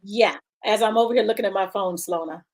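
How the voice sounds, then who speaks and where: A woman's voice: mid-range voice, fairly quick, darkish tone. A woman hosting a casual live-stream chat, bubbly, laughing often and reading viewer comments aloud. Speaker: show host.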